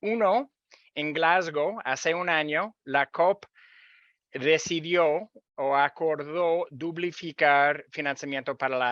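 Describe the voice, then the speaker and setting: A man's voice: on the high side, sharp tone, no echo. Canadian-accented man on a dull dry call. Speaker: panelist. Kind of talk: webinar panel talk.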